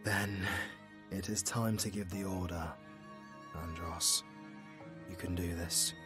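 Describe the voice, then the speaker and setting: Guy acting deep solemn demon hunter, noble weary mentor type, slow, fantasy audio drama. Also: fairly even intonation, faint music behind. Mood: formal, resolute. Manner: solemn, measured, hushed at times.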